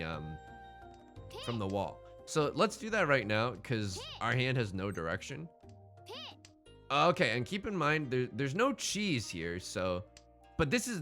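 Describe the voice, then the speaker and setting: Animated man doing casual game commentary. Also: medium pitch. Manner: chatty, thinking aloud, slangy. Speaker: let's play host.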